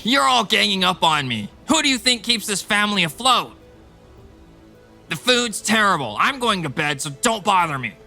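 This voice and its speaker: A man's voice: sits high, very animated, moderate pace, gravelly, sharp tone, soft background music, heavily acted. A man acting an arrogant, cold-hearted banker husband, irritable and condescending, in a dubbed manga drama. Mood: defensive.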